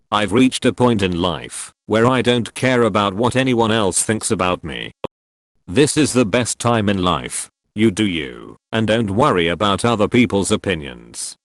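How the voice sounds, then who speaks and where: A man narrating forum posts and their replies in a steady reading voice. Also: fairly low pitch, dry recording. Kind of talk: scripted narration.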